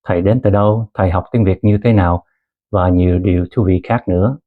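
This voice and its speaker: A man in his thirties who grew up in Canada, narrating a personal story as a language teacher in a calm, earnest way and mixing two languages. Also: low voice, quick pace, narrow, tinny audio. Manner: calm, earnest storytelling, switching between Vietnamese and English. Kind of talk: scripted lesson narration.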